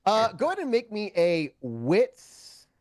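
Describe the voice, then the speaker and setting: A man's voice: sits high, a little slow, no room sound, studio quality. A man narrating a tabletop game as game master, improvising with plenty of profanity. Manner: profane, animated storytelling.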